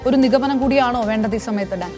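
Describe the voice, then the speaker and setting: A woman's voice: fairly high pitch, a little fast, over music. A woman anchoring live news, putting long, probing questions to a reporter.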